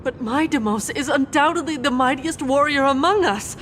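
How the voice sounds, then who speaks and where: An actress playing an anxious, doubting warrior in a video game. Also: female voice, high-pitched, normal speed, fairly bright tone, slight background noise. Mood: worried.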